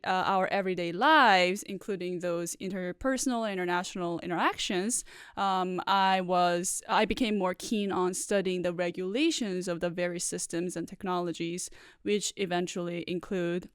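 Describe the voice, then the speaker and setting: A woman in her thirties from South Korea, Korean her first language, speaks in a smooth, resonant voice close to a dry studio mic. She explains her ideas in a measured way, pausing often on "uh" and "like". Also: fairly low pitch, no room sound. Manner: thoughtful, many "uh" and "like" fillers. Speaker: guest professor. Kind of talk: podcast interview.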